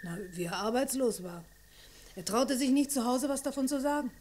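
A woman's voice: sits high, animated, normal speed, darkish tone. An actress playing a friendly, plain-spoken female witness who talks openly in an old German crime radio play. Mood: wistful. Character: friendly, forthcoming female witness, fond of an older man.

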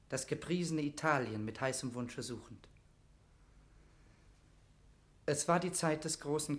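A man's voice: medium pitch, reverberant, natural voice. An actor playing a zealous young nobleman devoted to rescuing his queen, speaking impassioned, declamatory verse in a classical radio play. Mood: fervent. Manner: declamatory, impassioned, elevated stage diction.

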